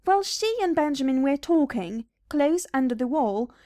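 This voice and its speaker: Female narrator for children's read-aloud, fairly high and very melodic, expressive scripted delivery, dry sound. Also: normal speed, dry recording. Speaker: storybook narrator.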